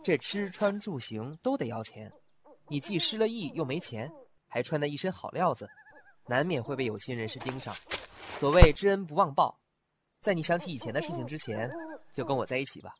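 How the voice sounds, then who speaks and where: A man's voice: fairly high pitch, fairly quick, a crowd in the background, lightly acted. A man voicing a kind, flustered young scholar in a costume radio drama, chatty and fretful. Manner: chatty, animated, fretful inner asides. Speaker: voice actor.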